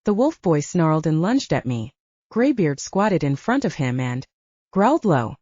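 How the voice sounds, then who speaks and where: A woman narrates dramatic fiction as for an audiobook. Her voice is on the low side and softly dark, with very animated intonation. The sound is close and dry. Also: unhurried, dry recording, lo-fi sound.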